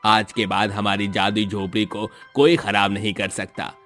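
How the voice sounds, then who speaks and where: A poor village father played by a male storyteller speaks in a low voice, earnest and kind-hearted. He belongs to a dramatised moral tale, with music playing underneath. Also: monotone, normal speed, fairly bright tone, close to an everyday voice. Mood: pleased.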